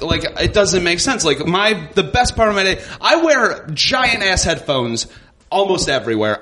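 A man telling self-deprecating stand-up stories in an animated way on a live stage. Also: a little high. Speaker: stand-up comedian. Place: live comedy show before an audience. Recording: noisy live stage recording with clicks.

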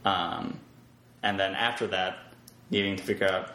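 Low-voiced man chatting in an echoey room. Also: level tone, normal speed, pushed and bright. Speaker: interview guest, web developer. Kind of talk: casual podcast chat. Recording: roomy echo, faint background noise.

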